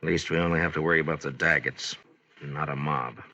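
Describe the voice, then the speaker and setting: An American actor playing a stern, weary frontier marshal in a 1950s radio Western, terse and commanding. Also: male voice, extremely low pitch, steady tone, a little fast, bright, forceful tone, muffled recording, heavily acted. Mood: grim, commanding. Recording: noisy vintage radio drama recording.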